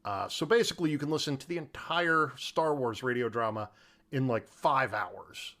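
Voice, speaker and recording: A man's voice: mid-range voice, moderate pace, sharp tone. Middle-aged man, joking podcast co-host. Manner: joking, slangy, emphatic. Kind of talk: casual chat.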